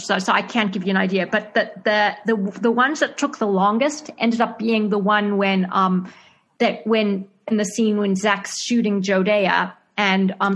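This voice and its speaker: Zimbabwean actress, interview guest, mid-pitched, halting, laughs easily. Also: female voice, fairly bright tone. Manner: hesitant, many fillers and restarts, laughs often. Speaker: actress and screenwriter being interviewed. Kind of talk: casual interview answers.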